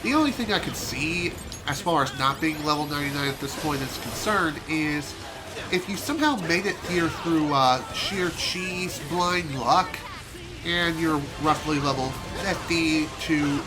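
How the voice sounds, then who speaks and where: A man's voice: fairly high pitch, animated, measured pace. Chatty gamer man over game music. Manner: chatty, rambling, self-amused. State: slightly hoarse from allergies. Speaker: gaming streamer. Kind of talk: casual let's play commentary.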